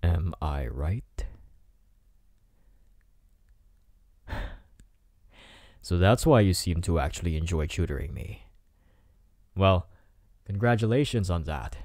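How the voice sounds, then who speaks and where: Low, slow-talking cocky bad boy, acted by a man. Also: a little mellow, no echo, clean studio sound, heavily acted. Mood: mocking, amused. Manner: teasing, smug, drawn-out delivery. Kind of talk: intimate ASMR roleplay acting. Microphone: close microphone in a dry space.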